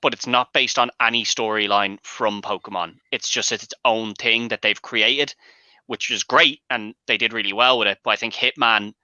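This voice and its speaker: A man with a low-pitched, very sharp and forceful voice debates movies casually but emphatically, swearing as he argues his case. The sound is dry and slightly dull, lo-fi. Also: dry recording. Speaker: panelist and host of a movie debate show.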